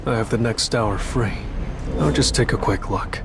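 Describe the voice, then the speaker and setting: An actor playing a calm, self-assured young monster hunter in a game cutscene, speaking in a reserved, deliberate way. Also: male voice, lowish voice, creaky, heavy background noise. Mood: quietly eager. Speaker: voice actor playing the game's protagonist. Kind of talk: English game cutscene acting with inner monologue.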